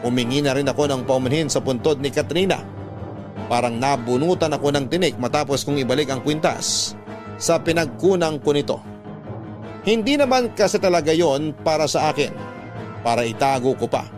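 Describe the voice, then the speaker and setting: Male actor for first-person radio drama narration: reflective, earnest, lightly high-pitched, music underneath. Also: normal speed, lightly acted. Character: reflective male letter sender recounting his past.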